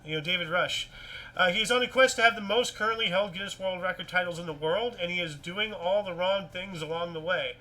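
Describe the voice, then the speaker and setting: Bright, high-pitched man hosting offbeat news chat. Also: fairly quick, rough recording. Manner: rambling, repetitive, animated. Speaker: host of a weird-news talk show. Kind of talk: casual news chat mixed with reading articles aloud.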